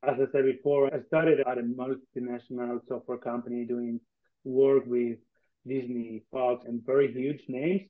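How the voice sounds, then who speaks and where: An Argentine-accented man talks as an interview guest in a conversational, earnest way. His pitch sits in the middle for a man, and he leans on repeated phrases for emphasis. The audio is thin and narrow, like a phone call. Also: little pitch movement, moderate pace. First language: Spanish. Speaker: interview guest, tech company co-founder.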